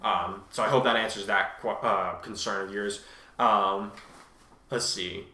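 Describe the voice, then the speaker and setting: A man's voice: lowish voice, fairly even intonation, a little slow, pushed and bright. A man in casual, chatty conversation, answering reviews as a co-host in an informal way. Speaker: fan fiction author and co-host. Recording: echoey room.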